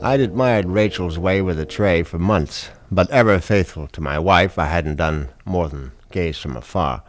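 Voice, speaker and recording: An actor playing a fussy, jittery wealthy husband in a radio mystery, theatrical and wry. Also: male voice, low voice, normal speed, natural voice. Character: fussy, jittery wealthy husband narrating his own misadventure. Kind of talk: radio mystery drama acting, first-person narration.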